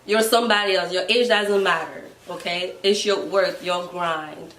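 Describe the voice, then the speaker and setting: A woman's voice: on the low side, fairly even intonation, moderate pace, fairly bright tone. A woman in her twenties with a Ghanaian accent, a guest giving earnest advice in a casual conversation. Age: 20s. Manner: earnest, advising. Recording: roomy, mic at a distance.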